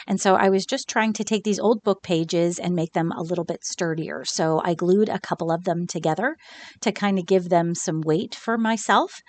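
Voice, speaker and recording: Casual craft-channel host, female, a bit scratchy from a cold, relaxed chatty voice-over, lower pitch. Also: normal speed. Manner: chatty and relaxed, with fillers and small laughs. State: slightly scratchy from recent illness.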